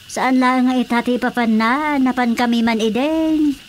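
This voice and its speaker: Woman playing a high-voiced, stern Ilocano aunt. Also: steady tone, normal speed, natural voice. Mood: matter-of-fact, stern. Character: stern, admonishing aunt laying down the rules. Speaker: radio drama actor. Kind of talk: Ilocano radio comedy drama acting. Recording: faint background noise.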